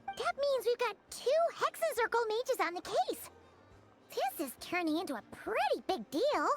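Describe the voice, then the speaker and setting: Childlike game fairy: squeaky, sky-high, bouncy intonation, excitable. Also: female voice, normal speed, faint music behind. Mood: surprised. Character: tiny excitable childlike fairy companion, easily alarmed. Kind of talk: animated video game dialogue.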